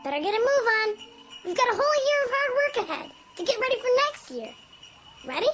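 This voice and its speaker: Tiny chirpy elf, super high squeaky voice, bossy, radio play, loud music under it. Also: sing-song, quick pace. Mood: brisk, urging. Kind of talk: radio drama acting. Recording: music behind the voice.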